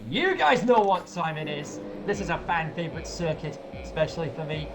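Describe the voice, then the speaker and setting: Energetic male co-commentator whose pitch jumps all over; joking, exclaiming at every incident. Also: fairly quick, background noise. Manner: animated, joking, exclaims loudly. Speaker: race co-commentator. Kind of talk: excitable live race commentary.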